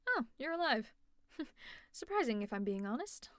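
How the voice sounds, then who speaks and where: A fairly high-voiced, teasing young villainess, played by a woman in an audio roleplay, exasperated and caring toward her worn-out rival. She speaks close to the mic in a dry room with no echo. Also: sing-song, a little slow, fairly bright tone. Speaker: ASMR roleplay creator.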